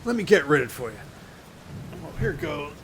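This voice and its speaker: A man's voice: very animated, fairly quick. A man playing a casual, offhand castaway in a comedy radio play. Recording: clear rain and rumble behind.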